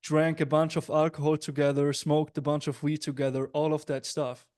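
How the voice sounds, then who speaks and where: A man's voice: a little high, flat delivery, moderate pace, a little mellow. A man in his twenties from Switzerland hosting an interview in an upbeat, friendly and direct way, often speaking to the audience. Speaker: host, business coach.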